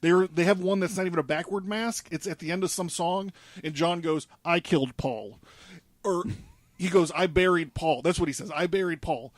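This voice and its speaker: A man's voice: high-pitched, moderate pace, no echo. A man presenting a case in casual studio talk, in an informal, rambling and sweary way. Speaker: presenter laying out a conspiracy theory.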